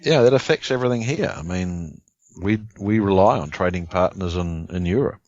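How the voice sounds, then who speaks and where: A man's voice: low-pitched, normal speed, a little mellow, no echo. A man with a Texan accent co-hosting a podcast in casual chat, making wry asides with a chuckle. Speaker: podcast co-host. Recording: dry, dull lo-fi sound.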